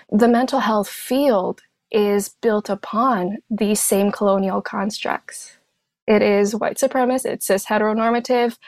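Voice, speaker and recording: A woman from Hong Kong whose first language is Cantonese, a therapist guest telling her story in a thoughtful, measured way. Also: medium pitch, gravelly, dry recording. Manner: thoughtful, measured, speaks in lists. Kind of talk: reflective personal storytelling.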